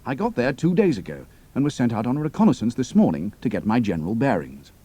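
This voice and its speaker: Mellow, husky pilot. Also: male voice, mid-range voice, big pitch swings, fast. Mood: matter-of-fact recounting. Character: cool, capable young male British pilot turned spy.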